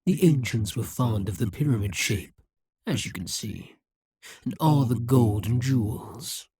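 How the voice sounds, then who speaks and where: An actor voicing a scheming, domineering demon monarch in a dramatic ASMR roleplay, speaking in a menacing, deliberate, taunting way. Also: medium pitch, expressive, mellow, breathy edge, no room sound, studio quality. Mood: smug, sinister. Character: domineering demon monarch, scheming, smug, coldly seductive captor.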